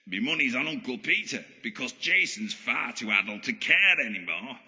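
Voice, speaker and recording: An actor playing a deranged, gloating Yorkshire villain who believes himself a god, sneering in mock-genteel, foul-mouthed fashion in an audio drama. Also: male voice, big pitch swings, normal speed, bright, forceful tone, full character voice. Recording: muffled, long booming hall reverb.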